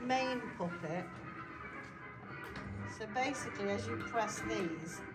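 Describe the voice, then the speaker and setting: A woman's voice: mid-range voice, animated, takes it slowly. A woman hosting a casual vlog in a chatty, rambling way. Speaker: host and camera operator of a restoration vlog. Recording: echoey room, music behind.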